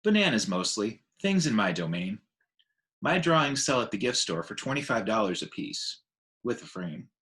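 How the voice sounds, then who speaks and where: A man plays an adult male gorilla from a children's storybook. He sounds weary but patient and explains things in a measured, slightly low voice. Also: sing-song, a bit bright. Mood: sleepy, plain. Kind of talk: children's book read aloud.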